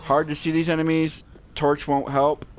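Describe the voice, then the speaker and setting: A man with a naturally deep voice giving relaxed, rambling gameplay commentary with many fillers, recorded at home on a cheap gaming headset mic. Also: moderate pace. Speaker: gaming channel host. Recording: low-quality headset mic, faint hiss.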